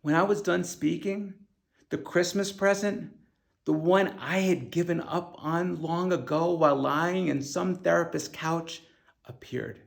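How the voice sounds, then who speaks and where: A man reads his own first-person memoir as scripted audiobook narration, in an earnest, deliberate manner with emphatic stresses. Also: on the high side, steady tone, gravelly. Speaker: author reading own audiobook.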